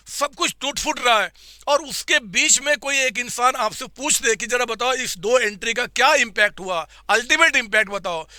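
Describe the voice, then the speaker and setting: A man teaching accounts in a live online class, with a slightly hoarse throat, quizzing his students and answering for them in a coaxing way. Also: extremely high pitch, sharp tone, no room sound, clean studio sound.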